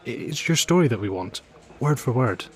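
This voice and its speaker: A man's voice: medium pitch, lively intonation, normal speed, faint music behind, lightly acted. An actor playing a deferential, eager male newspaper interviewer in an audio drama, polite and obliging.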